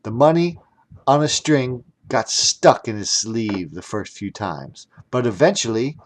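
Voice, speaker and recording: A man's voice: mid-range voice, lively intonation, measured pace. A man narrating a children's audiobook in a theatrical, playful storytelling manner. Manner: theatrical, with playful sound words. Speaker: audiobook narrator reading his own story.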